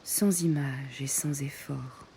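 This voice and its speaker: A woman guiding a meditation in soft, breathy short phrases with long pauses, often close to a whisper. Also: rumbling low, fairly even intonation, slight background noise. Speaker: yoga nidra meditation guide. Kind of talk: guided meditation.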